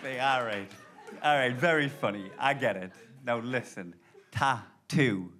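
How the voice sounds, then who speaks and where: A man in his thirties from Blyth with a Geordie accent, doing an animated, sweary stand-up set live on stage. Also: medium pitch, a little slow, noisy background. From Blyth, northeast England. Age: 30s. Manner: animated, swearing, laughing storyteller. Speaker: stand-up comedian. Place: live comedy club. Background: audience laughter.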